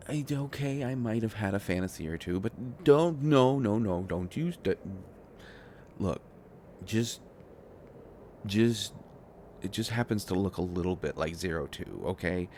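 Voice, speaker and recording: A man acting a shy, flustered, devoted inventor boyfriend in an intimate roleplay audio drama, talking in halting, stammering rambles. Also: sits low, lively intonation, a little mellow, slight background noise. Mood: flustered, embarrassed.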